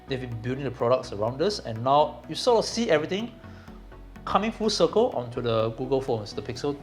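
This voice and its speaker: A man's voice: mid-range voice, sing-song, brisk, faint music behind. A man co-hosting a phone review, chatty and opinionated in casual talk. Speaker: co-host tech reviewer. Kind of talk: casual product review chat.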